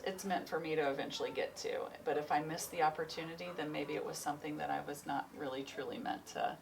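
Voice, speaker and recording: A woman's voice: low voice, gravelly. A woman in her forties, a chiropractor and yoga teacher, chatting loosely in an interview and rambling a little as she goes. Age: 40s. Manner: rambling, free-spirited, laughs often. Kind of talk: casual interview chat. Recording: distant mic with faint noise.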